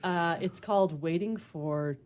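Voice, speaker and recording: A woman's voice: lowish voice, a little slow, a little mellow. A woman who performs in radio theatre, speaking as a player and narrator on the show. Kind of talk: casual chat and scripted narration.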